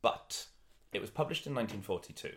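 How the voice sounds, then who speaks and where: Low-voiced Welsh man chatting. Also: animated, fast talker, vocal fry, a little hiss or hum. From Wales. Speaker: comedian, podcast guest. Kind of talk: casual comedy chat.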